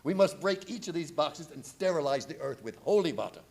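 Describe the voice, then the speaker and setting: An actor playing a commanding vampire-hunting professor in a radio play, grave, formal and urgent. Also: male voice, fairly high pitch, expressive, fairly quick, gravelly, darkish tone. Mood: grave, methodical. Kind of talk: live radio play acting.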